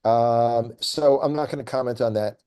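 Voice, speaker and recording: A man giving conversational political commentary as a guest, speaking in an emphatic, opinionated way and making his points deliberately. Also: medium pitch, steady tone, a little mellow, smooth. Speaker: regular guest commentator on a news update programme.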